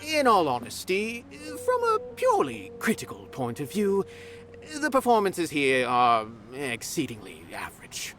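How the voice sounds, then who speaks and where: A haughty, eloquent art lover in a video game. The delivery is theatrical and grandiloquent, swinging widely in pitch over clearly audible music. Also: male voice, huge pitch swings, measured pace, fairly bright tone, exaggerated voice. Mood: impassioned. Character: haughty, eloquent male art connoisseur and performer.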